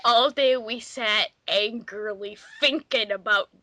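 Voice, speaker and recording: A woman's voice: on the high side, takes it slowly, sharp tone, dry recording. A woman reading aloud in an exaggerated, theatrical way as part of a group game. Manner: theatrical, exclaiming. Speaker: player in a dramatic reading game. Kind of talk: exaggerated dramatic reading among friends.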